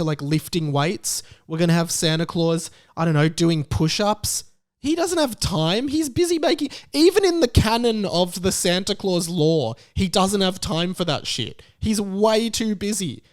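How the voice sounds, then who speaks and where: A young Australian man with a fairly high voice rants in an exasperated, emphatic way. He sounds comically indignant, and the recording is close and dry. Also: no room sound. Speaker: YouTube commentator. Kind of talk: ranting commentary on a news story.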